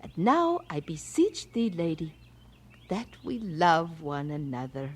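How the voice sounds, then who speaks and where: Elderly mother, eighties, husky, animated intonation, wistful storyteller, fond, radio-play acting. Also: low voice, slow pace, exaggerated voice. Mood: tender, nostalgic. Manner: wistful, gently dramatic storytelling with sighs. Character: frail, fond old missionary mother in her eighties, reminiscing.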